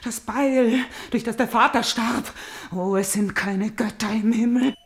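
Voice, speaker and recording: An actress playing a tormented, vengeful princess in a German radio drama, theatrical and moving between breathy whispers and fierce outcry. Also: female voice, on the high side, measured pace. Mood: desperate, agitated. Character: tormented, vengeful princess, fevered and obsessive, grieving her father.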